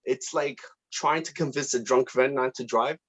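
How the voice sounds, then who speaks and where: A man speaks casually and appreciatively, like a director organizing his cast. His phrasing is hesitant and informal, and his mid-pitched voice comes through muffled, lo-fi call audio. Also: fairly even intonation, moderate pace, a bit bright, slightly rough. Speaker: student film director running a table read meeting. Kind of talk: casual meeting chat. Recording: dull lo-fi online call audio.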